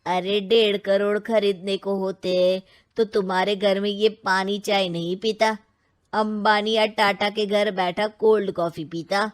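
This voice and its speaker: A woman's voice: mid-range voice, little pitch movement, measured pace, lightly acted. A woman voicing a haggling, sarcastic male scrap dealer in a cartoon story. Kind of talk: Hindi cartoon story acting.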